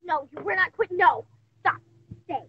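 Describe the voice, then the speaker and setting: A woman's voice: extremely high pitch, lively intonation, moderate pace, muffled recording, a little hiss or hum. A young girl of about ten, bossy and giggly, recorded at home on her father's phone. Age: child, around ten. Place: dark room at home, indoors.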